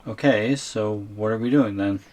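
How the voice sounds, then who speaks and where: A man's voice: on the low side, normal speed, a little mellow, close to an everyday voice. A man acting a tiny, shrunken captive boyfriend in an ASMR audio roleplay, reluctant and wary, with a hesitant, wry way of protesting. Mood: worried.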